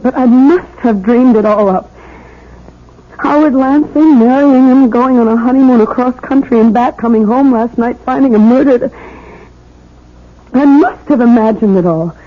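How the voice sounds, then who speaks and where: An actress playing a fragile, anxious young bride in a 1970s radio drama, speaking in a halting, plaintive way. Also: female voice, fairly high pitch. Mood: distraught. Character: fragile, anxious young bride doubting her own sanity. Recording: muffled old radio broadcast.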